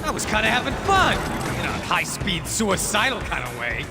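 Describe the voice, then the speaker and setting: A man playing a jittery, whiny, wisecracking robot henchman in a video game, flippant and excitable. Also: high-pitched, normal speed, gravelly, loud music behind the voice, heavily acted. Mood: flippant, excited. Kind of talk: video game character acting.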